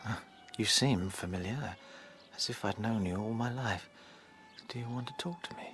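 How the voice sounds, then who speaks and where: A man playing an aged, kindly, wise rabbit chief in a radio drama, speaking in a fatherly, measured way. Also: on the low side, a bit bright, slight background noise, lightly acted. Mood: wondering, accepting.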